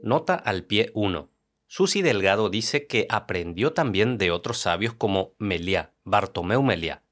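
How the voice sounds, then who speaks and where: A man with a fairly low voice reads context notes and asks questions in a calm, explanatory tone. Faint guitar music plays behind him. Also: measured pace. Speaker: interviewer and narrator. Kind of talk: scripted narration and interview questions.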